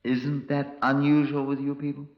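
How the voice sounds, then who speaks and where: An actor playing a brusque, sardonic Scotland Yard chief inspector in a 1950s radio crime drama. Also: male voice, mid-range voice, monotone, normal speed, lightly acted. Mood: brusque, impatient. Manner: brusque, dry, sarcastic. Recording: dull, lo-fi 1950s radio recording.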